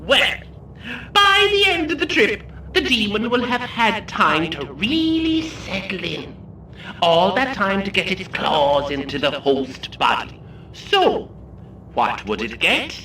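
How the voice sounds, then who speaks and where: A theatrical tour guide relishes every macabre detail in a horror comedy drama. The voice is bright and very animated, taunting and sardonic, with menacing pauses. It sounds roomy and a little distant. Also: male voice, high voice, a little hiss or hum, exaggerated voice. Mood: ominous relish. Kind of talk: scripted audio horror comedy acting.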